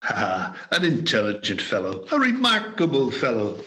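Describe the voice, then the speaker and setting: A man's voice: mid-range voice, huge pitch swings, normal speed, bright, forceful tone. An actor playing a giddy, overjoyed old man in a radio play, exuberant and stammering. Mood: eager delight. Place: performed remotely over a video call.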